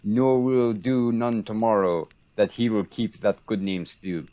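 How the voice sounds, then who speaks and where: A man's voice: lowish voice, fairly even intonation, measured pace, warm tone, no echo, natural voice. A young man acting a sardonic French military commander in a Shakespeare radio play, with a dry, mocking delivery. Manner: dry, wry.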